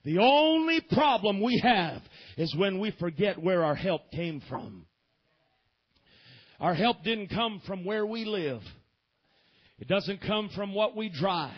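A man delivers a fervent sermon in a strikingly high, husky voice, rising to shouted peaks and sinking to low, quiet passages, with frequent "amen". The recording is dull and lo-fi, as if taped from a church pulpit microphone. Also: animated, slow pace, pauses often. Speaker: pastor.

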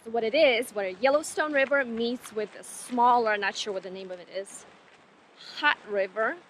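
A woman's voice: fairly high pitch, moderate pace, fairly bright tone, slight background noise. A woman in her thirties filming a casual family travel vlog outdoors by a river, chatting and laughing easily into a handheld camera. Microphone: handheld action camera at arm's length. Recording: background music.

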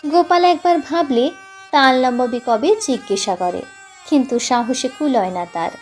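A woman's voice: on the high side, darkish tone. A woman narrating a literary story expressively, audiobook style, with music underneath.

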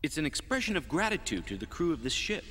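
A man voicing a calm, scholarly cadet who explains things precisely in an animated sci-fi dub. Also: a little high, fast talker, breathy edge, full character voice. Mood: solemn. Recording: reverberant dub track with music underneath.

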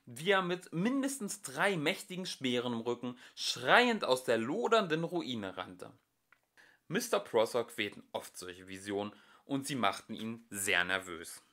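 A young man reading a novel aloud as an amateur audiobook narrator, with lively shifting emphasis. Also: medium pitch, unhurried. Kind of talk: storybook narration.

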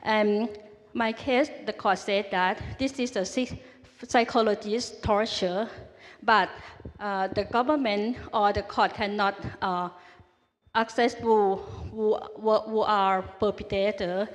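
A woman's voice: mid-range voice, steady tone. Woman, audience questioner, hesitant English, long hall reverb. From Thailand. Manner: halting, many restarts and fillers. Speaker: audience member asking a question. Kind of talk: question at a forum. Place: large conference hall.

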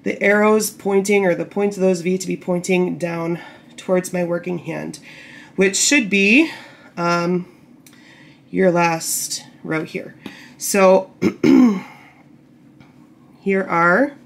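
A woman getting over a cold, a bit croaky, patiently explaining knitting steps while working with her hands. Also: lowish voice, slow, pauses often. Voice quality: slightly gravelly. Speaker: knitting tutorial host. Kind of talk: casual step-by-step instruction. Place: indoor table, camera over hands.

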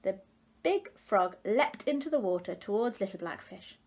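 Lady in her 30s reading a kids book at home, really lively intonation. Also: medium pitch, normal speed, slightly rough. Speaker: storybook reader. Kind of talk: expressive children's story narration. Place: living room, indoors.

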